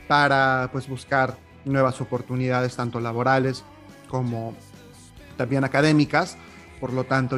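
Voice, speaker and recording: Chatty Mexican man hosting a podcast. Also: mid-range voice. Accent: Mexican Spanish. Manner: chatty, warm-hearted, with filler "eh, pues". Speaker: podcast host. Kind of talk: solo podcast monologue.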